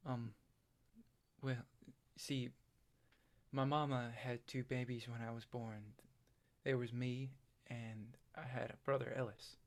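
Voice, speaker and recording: A man playing a haunted, self-doubting farm boy in a stage play, halting and plain-spoken. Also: medium pitch, little pitch movement. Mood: wistful.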